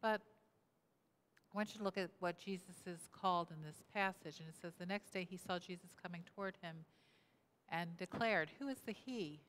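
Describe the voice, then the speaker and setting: Woman pastor in her sixties, smooth low-ish voice, questioning the congregation, church reverb. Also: moderate pace, fairly bright tone. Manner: asks the congregation questions and repeats their answers. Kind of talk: interactive sermon. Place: church sanctuary, indoors, at a lectern. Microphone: lectern mic, camera at mid distance.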